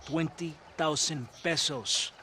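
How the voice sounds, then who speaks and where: An actor playing a boisterous, oily Mexican army captain in a video game, florid and theatrical, with a Mexican accent. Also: male voice, on the high side, slow pace, bright, forceful tone. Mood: jovial, oily. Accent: Mexican Spanish. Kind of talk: video game cutscene acting. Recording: game audio with background noise.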